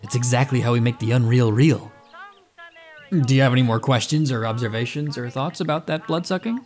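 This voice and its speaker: An actor playing a cocky, smooth-talking inventor who teases and boasts in an audio drama. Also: male voice, medium pitch, fairly quick, a little mellow, soft background music, lightly acted. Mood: boastful. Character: cocky, glib inventor and self-styled man of science.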